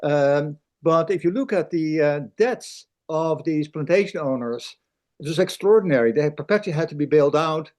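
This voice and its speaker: A man's voice: on the high side, normal speed, darkish tone. Older man, rambling question. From Netherlands. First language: Dutch. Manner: rambling, many fillers. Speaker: audience member asking a question. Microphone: video call.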